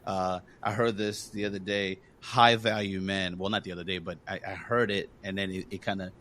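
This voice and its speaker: A man's voice: deep voice, level tone, normal speed, a bit bright, a little hiss or hum. A man from Congo hosting a podcast, talking earnestly and encouragingly in casual conversation, with lots of fillers. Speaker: podcast host.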